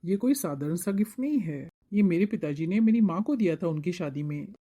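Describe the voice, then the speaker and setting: A woman's voice: on the low side, animated, fairly quick, dark tone, highs cut off. A woman voicing an earnest young bridegroom in a Hindi cartoon moral story.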